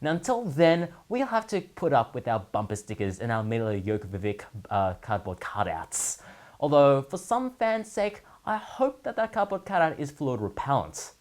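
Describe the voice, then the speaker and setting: Animated, sardonic man hosting a comedy segment. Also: medium pitch, moderate pace. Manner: sardonic, mock-serious punchline delivery. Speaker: host of a gaming news segment. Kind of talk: scripted comedic commentary monologue.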